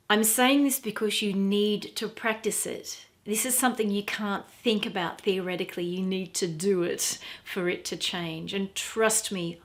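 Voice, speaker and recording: Soft-voiced middle-aged woman teacher. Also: medium pitch. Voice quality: quiet, soft voice. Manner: earnest, emphatic, repeats for stress. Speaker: feminine empowerment teacher. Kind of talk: direct-to-camera talk. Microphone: clip-on mic.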